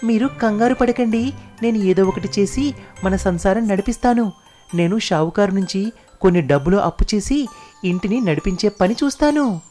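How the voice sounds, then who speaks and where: A woman's voice: medium pitch, moderate pace, dark tone, faint music behind, close to an everyday voice. A woman playing a caring, resourceful village wife who speaks reassuringly in a cartoon story.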